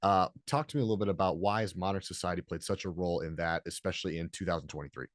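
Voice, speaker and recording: Thirty-something guy hosting a podcast, deep voice, chill way of asking stuff. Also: racing, no room sound, clean studio sound. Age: 30s. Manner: relaxed, conversational, frames questions with own views. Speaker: podcast host. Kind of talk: casual interview questions.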